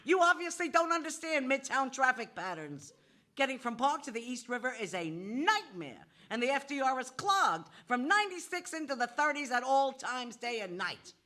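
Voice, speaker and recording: A woman's voice: high voice, sing-song, moderate pace, bright, forceful tone, heavily acted. An actress playing an exasperated, sarcastic New York woman who works in banking, lecturing in a live audio play. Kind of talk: live stage audio drama.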